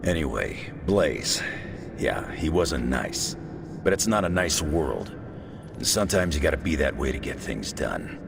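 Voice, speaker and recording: An actor playing a gruff, blunt veteran hero with a gravelly voice in a video game cutscene. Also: male voice, very deep voice, big pitch swings, unhurried, noisy background, heavily acted.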